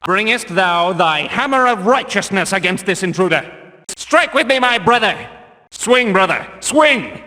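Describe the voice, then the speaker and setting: An actor playing a stern, zealous religious guard in a video game, declaiming pompously in archaic English. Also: male voice, high-pitched, lively intonation, measured pace, bright, forceful tone, big-room sound, exaggerated voice. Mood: wrathful, righteous.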